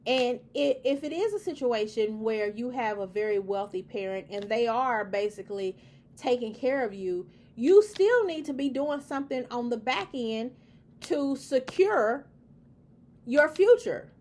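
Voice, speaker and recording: A woman's voice: a little high, expressive, a little slow, darkish tone. A woman giving an opinion monologue, talking emphatically and repeating her points in a preachy, lecturing way. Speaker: commentary host.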